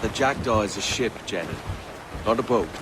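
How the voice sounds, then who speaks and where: A man playing a gentle, regretful sea captain and father with a measured, hesitant manner in a video game cutscene. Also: fairly low pitch, animated, lightly acted. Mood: gently indulgent. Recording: noisy wind and waves on ship.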